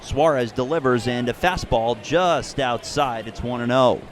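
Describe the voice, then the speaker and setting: Clear ambient noise sits behind a man doing live baseball radio play-by-play. His voice is medium in pitch, and he speaks in measured, neatly phrased broadcast style, moving between game commentary and sponsor reads.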